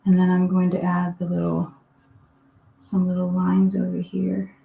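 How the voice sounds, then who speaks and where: A woman's voice: fairly low pitch, monotone, measured pace, vocal fry, narrow, tinny audio, a little hiss or hum. A woman from Finland teaching a live painting class from her desk, talking casually and thinking aloud as she works. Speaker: art teacher. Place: home desk. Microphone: live online class setup. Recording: roomy echo.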